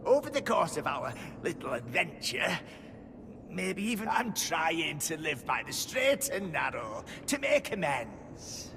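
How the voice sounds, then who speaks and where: An actor playing an embittered, scheming outlaw in a fantasy game, pleading and vengeful, with a rough, wheedling manner. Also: male voice, on the high side, very animated, a little slow, background noise, exaggerated voice. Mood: pleading, bitter. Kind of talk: dramatic fantasy game acting. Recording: music behind the voice.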